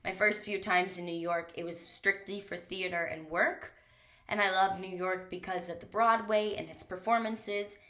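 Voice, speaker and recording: A woman's voice: lowish voice, fairly even intonation, moderate pace, pushed and bright. A young woman hosting her own podcast, talking candidly and casually with some swearing, her voice a little stuffy from a cold, recorded on a mic in a small closet. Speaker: podcast host. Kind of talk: solo podcast monologue.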